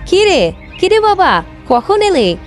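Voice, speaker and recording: A woman's voice: very high voice, sing-song, slow pace, soft background music, pitched above the performer's own voice, full character voice. A woman voicing an anxious village mother in a cartoon folk tale, exclaiming and repeating her words. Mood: alarmed. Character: woodcutter's wife, anxious, easily tempted village mother.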